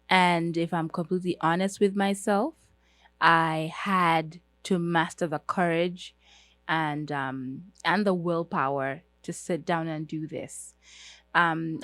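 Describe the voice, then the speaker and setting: A woman's voice: on the low side, fairly bright tone, no echo. A young adult woman from Uganda, hosting a solo podcast about herself, speaking earnestly with frequent fillers and restarts. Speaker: podcast host.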